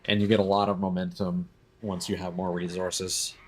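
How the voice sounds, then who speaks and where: A man's voice: deep voice, level tone, normal speed. A man giving casual live gameplay commentary as a streamer, thinking aloud as he plays. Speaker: game streamer.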